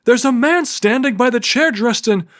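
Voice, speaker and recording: A man voicing an alarmed, excitable young woman in a fantasy audiobook, urgent and exclamatory. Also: very high-pitched, normal speed, pitched above the performer's own voice.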